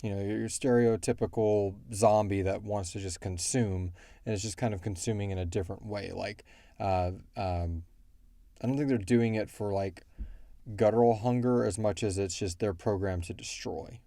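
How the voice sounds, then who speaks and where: Man, low relaxed voice, very dry close sound, casual rambling explainer of fictional lore. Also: moderate pace, no room sound. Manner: rambling, quotes characters in brief asides. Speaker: show creator answering listener questions.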